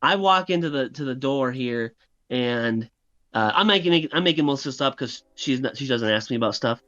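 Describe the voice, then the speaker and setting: A man's voice: mid-range voice, lively intonation, normal speed, fairly bright tone. A man co-hosting a podcast, chatting casually and telling joking anecdotes, on a dull lo-fi recording. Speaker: podcast co-host. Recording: dull, lo-fi sound.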